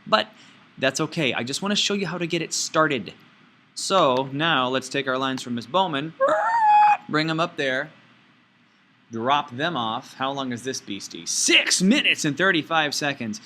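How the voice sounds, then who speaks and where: A man teaching an informal step-by-step software tutorial, playfully talking himself through each action out loud. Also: mid-range voice, animated, unhurried.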